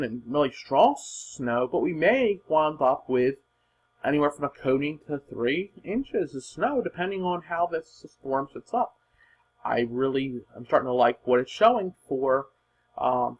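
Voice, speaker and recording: A man giving informal, unscripted weather forecast commentary in an emphatic, husky voice, repeating points for stress. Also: mid-range voice, low-quality recording.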